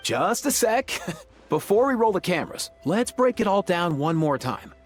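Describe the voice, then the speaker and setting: A man's voice: high-pitched, sing-song, normal speed, faint music behind. An actor voicing an upbeat, laid-back young man who organizes things in a video game. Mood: eager, briefing.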